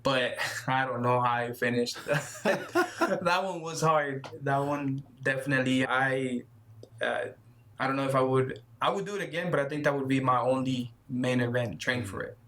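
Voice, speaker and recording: Halting, shy man in his thirties. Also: medium pitch, fairly bright tone. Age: 30s. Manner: halting, repeats words, laughs shyly. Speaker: guest runner. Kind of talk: casual interview conversation.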